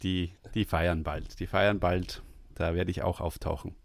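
A male podcast host talking in a relaxed, chatty way, with plenty of fillers. His voice sits low. The sound is dry and close. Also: measured pace, creaky, no room sound. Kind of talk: casual podcast chat.

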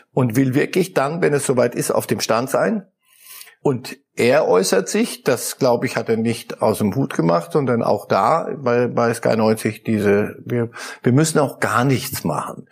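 A middle-aged man talking football as a pundit on a talk show, thoughtful and halting, often repeating words as he searches for them. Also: medium pitch, vocal fry, slightly rough. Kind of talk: studio talk show discussion.

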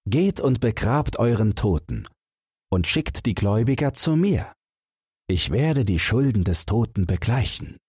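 A man's voice: fairly low pitch, very animated, a little slow, a little mellow, breathy edge, no room sound, studio quality, pitched above the performer's own voice, full character voice. A man voicing a noble, earnest young prince in a fairy tale. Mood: earnest, resolute.

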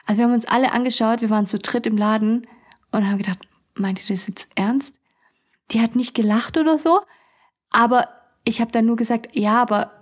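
A young adult woman telling funny stories as a podcast host, chatty and often laughing. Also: on the high side. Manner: chatty, laughs often, acts out customers' voices. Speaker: podcast host, beauty studio owner. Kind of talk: casual storytelling podcast.

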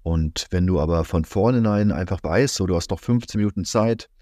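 A man hosting a reflective solo podcast, speaking calmly with many fillers, his voice slightly strained from being a little under the weather. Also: deep voice, a little fast, no room sound, clean studio sound. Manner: calm, musing, many fillers and "ja". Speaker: podcast host.

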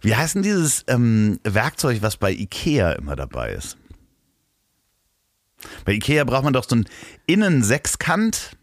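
A man's voice: medium pitch, wildly swooping pitch, measured pace, pauses often, dry recording, studio quality. A man with a Hamburg German accent chatting casually as a podcast co-host, slurring the odd word. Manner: slurs some words. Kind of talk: casual chat.

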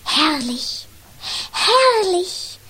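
Tiny high-voiced little ghost. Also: female voice, sing-song, bright, forceful tone, heavily acted, low-quality recording. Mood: amazed. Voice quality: small childlike voice. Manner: slow, wondering storybook delivery. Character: cute little ghost, childlike, curious, wistful. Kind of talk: children's audio play acting.

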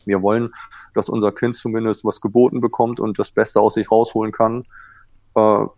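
A man's voice: on the low side, level tone, moderate pace, darkish tone, no room sound. A man who coaches goalkeepers, explaining things in a self-assured, conversational way as an interview guest. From Germany. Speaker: water polo goalkeeper coach, former Olympian.

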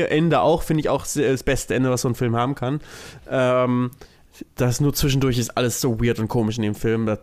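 A man's voice: mid-range voice, darkish tone. A man in casual podcast chat as a co-host, explaining and giving opinions in colloquial language.

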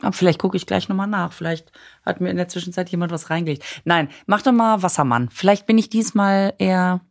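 A woman's voice: lowish voice, quick pace, vocal fry. A woman in casual chat, reading horoscope texts aloud and laughing at her own slips.

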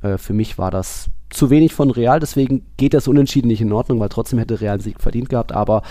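A man's voice: medium pitch, fast, dark tone, no room sound, studio quality. A man co-hosting a football podcast, giving his opinions in casual, colloquial analysis.

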